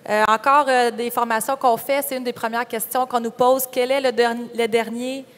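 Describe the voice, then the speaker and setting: A young woman with a Quebec French accent speaks in a fairly high voice. She tells her own story in a candid, informal way as a panelist. The sound carries the long reverb of a hall. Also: fairly even intonation, normal speed. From Quebec, Canada. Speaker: panelist, farm entrepreneur. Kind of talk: conference panel personal account. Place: conference room panel.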